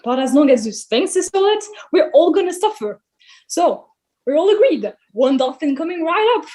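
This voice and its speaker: A woman's voice: high-pitched, sing-song, normal speed, mellow. A woman playing a grumpy, sarcastic male god who runs a camp, in a theatrical musical table read, blustering and dismissive.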